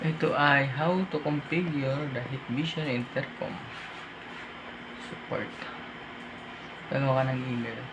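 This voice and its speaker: A man's voice: mid-range voice, steady tone, measured pace, long pauses. A Filipino man presenting an informal hands-on tech tutorial, thinking aloud as he goes step by step. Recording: noisy amateur room recording.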